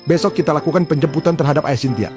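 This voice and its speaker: A man plays a firm police officer in a cartoon drama. He talks quickly in a formal, authoritative way, with music clearly behind him. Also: fairly high pitch, natural voice. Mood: calm, firm. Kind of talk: animated drama dialogue.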